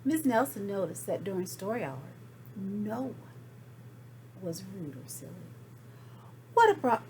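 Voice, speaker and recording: A woman reading a children's story aloud as expressive narration, with deliberate, dramatic phrasing. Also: mid-range voice, a little hiss or hum, low-quality recording. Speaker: storybook reader.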